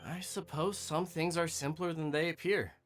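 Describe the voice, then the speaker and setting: A man voicing an earnest, grateful young adventurer in a video game, speaking sincerely and gently. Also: on the high side, steady tone, moderate pace, faint music behind, natural voice. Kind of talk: English video game voice acting.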